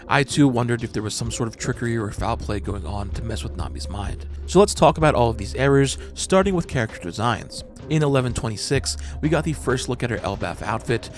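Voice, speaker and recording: A man giving a measured, analytical scripted narration as host of a manga breakdown channel. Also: mid-range voice. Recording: voice-over with music underneath. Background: background music.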